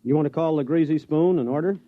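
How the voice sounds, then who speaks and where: An actor playing a brash, loyal deputy on a space project, wisecracking and emphatic in 1950s radio drama style. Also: male voice, a little high, fast, no room sound, lightly acted. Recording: dry, muffled vintage radio recording.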